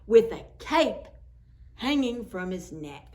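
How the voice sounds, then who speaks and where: A woman doing an expressive, playful picture-book read-aloud for preschool children. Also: mid-range voice, takes it slowly. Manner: playful, acts out sound words. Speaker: children's storytime reader.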